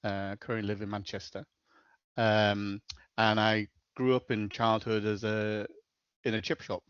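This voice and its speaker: A middle-aged man with a Scouse accent speaks in a low voice as a podcast guest, matter-of-fact and full of small hesitations. The recording is dry and close, with a slightly dull, lo-fi tone. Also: flat delivery, unhurried, a bit bright, clean tone, no echo. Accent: Liverpool (Scouse). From Liverpool, England. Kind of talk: casual interview chat.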